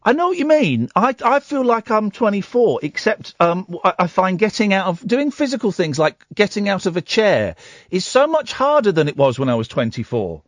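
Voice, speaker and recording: Lively, self-mocking radio host man. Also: high voice, moderate pace, no echo. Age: 40s. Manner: playful, self-deprecating and rambling. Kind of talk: late-night radio phone-in chat. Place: radio studio. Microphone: studio microphone.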